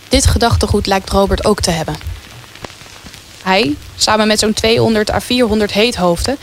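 A woman's voice: medium pitch, slight background noise. A woman reading scripted narration as the storyteller of a historical radio play.

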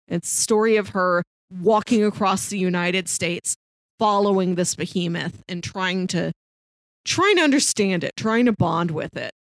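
Female podcast co-host, mid-range pitch, casual recommendation chat, dry close recording. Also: no echo.

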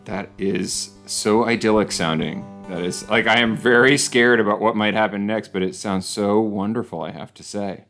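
A man's voice: lowish voice, steady tone, normal speed, hardly any pauses, fairly bright tone, faint music behind. Playful man co-hosting a podcast. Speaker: podcast co-host. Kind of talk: podcast chat.

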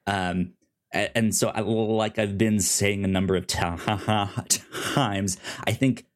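Halting man chatting on a review podcast. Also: fairly low pitch, steady tone. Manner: halting, stammering, often repeats words. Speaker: podcast co-host reviewing a TV show. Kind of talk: casual review chat.

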